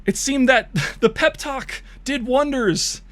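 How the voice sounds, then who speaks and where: A man's voice: very high voice, slow pace, a bit bright. A man streaming and giving hyped mock esports play-by-play of bot matches, laughing and joking crudely, his voice wearing thin. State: losing his voice.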